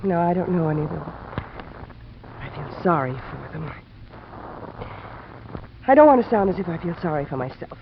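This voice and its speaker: An actress playing a desperate, fatalistic young woman in a 1950s American radio drama, halting and intense. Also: lowish voice, sing-song, gravelly. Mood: bitter, resigned. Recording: noisy, muffled vintage radio recording.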